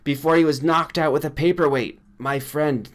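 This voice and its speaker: A man's voice: a little high, steady tone, moderate pace, pitched above the performer's own voice, natural voice. A man playing an agitated male colleague in an audiobook, urgent and raised in voice. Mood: alarmed. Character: agitated male colleague bringing grim news.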